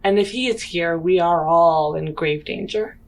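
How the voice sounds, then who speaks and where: A woman roleplaying a grave, haunted mother among spacers in a tabletop game, speaking in a halting, solemn way. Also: lowish voice. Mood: grave, fearful.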